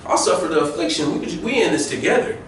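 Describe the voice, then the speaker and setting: A man's voice: fairly high pitch, lively intonation, fast. An American man preaching an informal sermon, reading scripture and commenting on it in an emphatic, colloquial way with repeated phrases. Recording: very echoey room, distant mic, faint noise.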